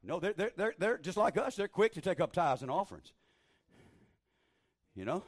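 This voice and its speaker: A man preaching a fervent sermon in a small church, repeating phrases and building to shouted exhortation over a handheld microphone. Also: high voice, sing-song, moderate pace. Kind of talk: Pentecostal-style sermon.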